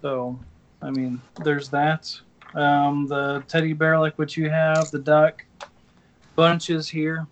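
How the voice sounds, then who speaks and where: A man's voice: a little high, completely flat delivery, slow pace, slight background noise. A man in casual chat, rambling and hesitant as he shows off toys. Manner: rambling, hesitant, many fillers.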